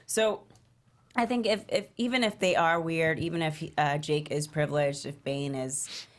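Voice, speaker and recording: A woman with a distinctly low voice talks through script notes. She sounds conversational and a little hesitant, working out her thoughts as she speaks. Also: steady tone, normal speed. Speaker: script table read panelist. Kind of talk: casual workshop feedback discussion.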